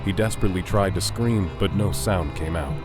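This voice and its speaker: A man narrating a scripted audio drama in a measured, suspenseful storytelling manner. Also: deep voice, music in the background.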